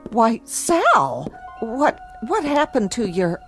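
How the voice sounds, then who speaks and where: A fussy landlady with a high, wildly swooping voice. She nags indignantly at an unhurried pace, as in a television drama, with faint music behind. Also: huge pitch swings, full character voice. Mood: annoyed. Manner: nagging, indignant. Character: fussy, scolding landlady, easily scandalized.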